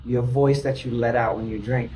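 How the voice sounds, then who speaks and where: A man's voice: mid-range voice, steady tone, moderate pace, mellow, slight background noise. A man speaking as a therapist in a therapy session, insistent and repetitive, often checking whether his listeners understand. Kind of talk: group therapy session talk.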